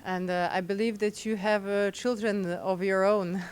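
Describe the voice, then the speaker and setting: A woman's voice: mid-range voice, steady tone, moderate pace, clear, resonant tone. A woman interviewing a guest, asking curious, probing questions.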